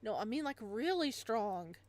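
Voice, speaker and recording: A woman reading visual novel lines as a slangy, emphatic young male bar host sharing excited street gossip. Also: fairly high pitch, measured pace. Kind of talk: visual novel dialogue read aloud.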